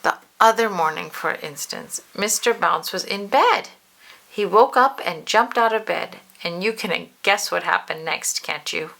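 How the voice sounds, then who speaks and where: A woman reading a children's storybook aloud in an animated way, stretching words for emphasis and addressing the listener. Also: lowish voice, measured pace, creaky. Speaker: storybook reader. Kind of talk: expressive children's read-aloud narration.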